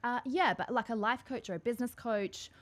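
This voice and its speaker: A woman's voice: on the high side, brisk. A young adult woman from Australia, with an Australian accent tinged by New Zealand, talking casually and with self-deprecating humour as a podcast guest. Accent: Australian, partly New Zealand-tinged. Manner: chatty, self-deprecating, laughs easily. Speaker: podcast guest, style coach. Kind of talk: casual chat.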